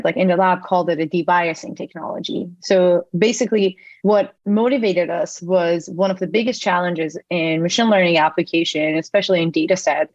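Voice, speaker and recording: A woman's voice: lowish voice, dry recording. A young adult woman who grew up in Iran, a company CEO answering interview questions in a loose, explanatory way, with many fillers and self-corrections. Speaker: podcast guest, company CEO. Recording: dull lo-fi sound.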